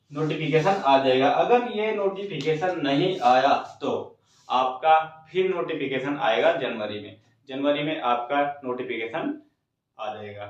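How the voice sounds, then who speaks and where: A man with a high voice gives an informal news update as a channel host. He explains point by point, repeating himself and addressing viewers directly. The recording is heavily echoey and sounds far from the microphone. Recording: very echoey room, distant mic, dull sound.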